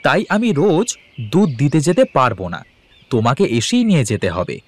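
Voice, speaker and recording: A man's voice: a little high, huge pitch swings, brisk. A man playing a stubborn, shrewd poor village milk farmer in a Bengali cartoon. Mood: wary, stubborn. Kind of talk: Bengali moral cartoon voice acting.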